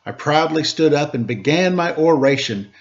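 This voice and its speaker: A man reading a rhyming children's storybook aloud in an animated, theatrical way. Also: fairly high pitch, normal speed. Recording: dull lo-fi sound.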